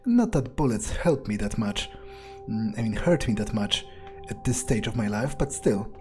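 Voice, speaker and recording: A man's voice: on the low side, lively intonation, moderate pace, dark tone, soft background music. A man improvising an in-character gameplay monologue as a sardonic vampire, musing hesitantly and casually to himself with dry, morbid humor. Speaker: let's player role-playing a character.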